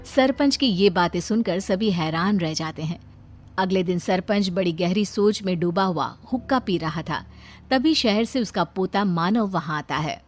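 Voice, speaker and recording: A woman's voice: fairly low pitch, measured pace. A woman narrating a cartoon story from a script. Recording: faint music behind.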